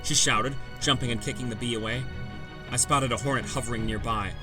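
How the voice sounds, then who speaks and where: A man's voice: medium pitch, steady tone, a little fast. Male fantasy audiobook narrator over prominent music. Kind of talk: dramatic scripted first-person fantasy narration. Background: background music.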